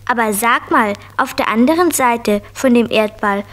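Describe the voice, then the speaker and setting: A woman's voice: a little high, normal speed, a bit bright, lightly acted. A woman playing a curious, eager little preschool girl full of questions in a children's audio play.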